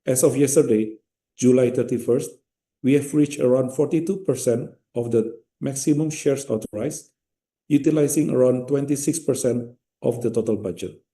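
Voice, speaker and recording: A man with an Indonesian accent giving a formal, measured company results presentation from a script. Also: mid-range voice, mellow.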